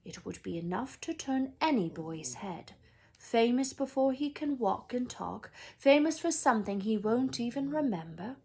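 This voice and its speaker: A woman voicing a calm, grave, wise old male wizard from a storybook in a measured, hushed and firm way. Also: fairly high pitch, slightly rough, exaggerated voice.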